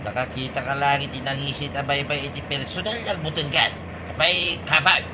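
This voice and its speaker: An actor playing an exasperated, insistent village friend in an Ilocano radio comedy drama, with an Ilocano accent. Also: male voice, medium pitch, steady tone, brisk, background noise, natural voice. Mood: teasing. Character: nagging, exasperated male village buddy of the captain.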